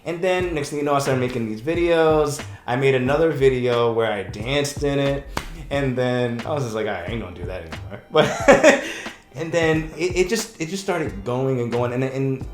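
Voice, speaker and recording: A man, a breakup coach, talking casually on a podcast, telling stories with lots of restarts and quick laughs. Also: medium pitch, echoey room, faint music behind. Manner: animated storyteller, laughs often, rambling restarts.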